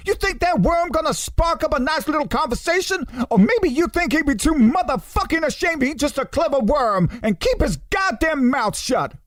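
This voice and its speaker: A man's voice: extremely high pitch, animated, moderate pace, few pauses, fairly bright tone, dry recording, studio quality, voice pushed up. A man voicing a foul-mouthed, bitter talking foot in a comedy radio play, ranting in brash street slang. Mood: bitter, indignant.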